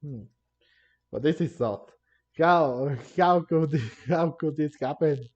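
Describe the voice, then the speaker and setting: A man's voice: on the high side, slow, a little mellow. A man giving casual gameplay commentary, hesitant and rambling, often repeating his words, over game music. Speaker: gamer commenting on play. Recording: muffled audio, faint game music. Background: faint game music.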